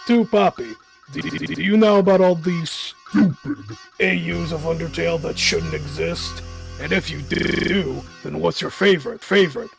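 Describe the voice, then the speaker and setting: A man's voice: fairly high pitch, moderate pace, creaky, soft background music. A man reading out an audience question in a dramatic way.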